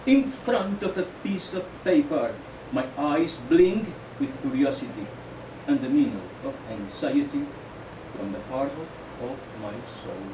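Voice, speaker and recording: A man, a poet at a live reading, speaking in a deliberate, declamatory way with halting phrases. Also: medium pitch, animated. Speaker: poet reading own poems. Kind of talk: live poetry reading with informal asides. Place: indoor live poetry event, recorded from off. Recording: roomy, distant, dull, noisy live recording.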